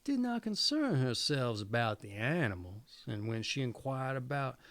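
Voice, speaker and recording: A man's voice: mid-range voice, big pitch swings, moderate pace, fairly bright tone, no room sound. A man reading a fairy tale aloud expressively as a storyteller.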